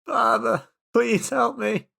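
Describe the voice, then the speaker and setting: A man's voice: very high-pitched, steady tone, slow pace, creaky, sharp tone, breathy edge, voice pushed up, full character voice. A man voicing a school kid in a roleplay game who pretends to be pitifully sick, feeble and melodramatic. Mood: pleading.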